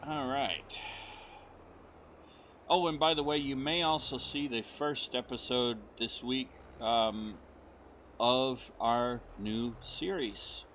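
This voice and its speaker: Hoarse American man rambling casually. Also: medium pitch, steady tone, slow pace, a bit bright, a little hiss or hum. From United States. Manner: friendly, rambling, many fillers. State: hoarse, recovering voice. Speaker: gaming channel host. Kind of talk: casual let's-play commentary.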